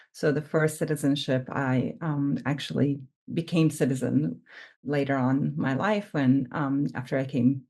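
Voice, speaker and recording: Earnest woman, very low voice. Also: gravelly, a little mellow, slightly rough. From Lebanon. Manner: earnest, searching for words. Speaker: professor, podcast guest. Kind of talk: conversational interview answer.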